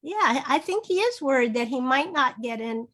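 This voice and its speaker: A woman teaching through an interactive children's read-aloud, modeling phrases slowly and asking prompting questions. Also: high voice, expressive, a bit bright. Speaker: reading teacher. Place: online video session.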